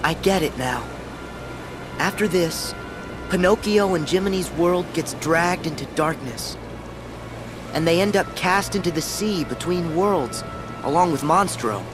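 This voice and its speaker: A man playing an earnest, determined teenage boy hero in an animated game, serious and a little brooding. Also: on the high side, measured pace, music in the background, lightly acted. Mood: pensive.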